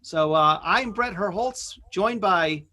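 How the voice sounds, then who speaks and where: A man hosting a podcast event, introducing it casually with a halting, hesitant delivery. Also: sits high, expressive, bright, forceful tone. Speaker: podcast host.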